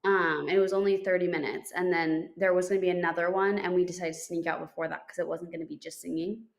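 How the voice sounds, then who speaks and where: A woman's voice: lowish voice, fairly even intonation, fast, creaky. Chatty young woman telling a story. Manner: chatty storytelling, many fillers and restarts. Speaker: podcast co-host. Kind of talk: casual chat.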